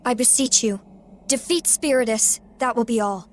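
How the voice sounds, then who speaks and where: An actress playing an earnest, imperious young goddess of harmony in a video game cutscene, speaking with formal, stately diction. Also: female voice, fairly high pitch, normal speed, close to an everyday voice. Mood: firm, commanding.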